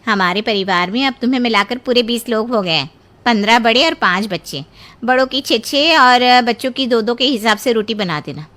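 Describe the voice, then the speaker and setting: A woman voicing a brisk, matter-of-fact, bossy in-law from a big household in a Hindi cartoon story. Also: fairly high pitch, expressive, pushed and bright.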